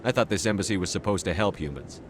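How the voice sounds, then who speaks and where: An actor playing a terse, businesslike military commander in a video game, with a clipped, direct manner. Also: male voice, low-pitched, quick pace, noisy background, lightly acted. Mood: businesslike, curious. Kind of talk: video game dialogue acting. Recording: music behind the voice.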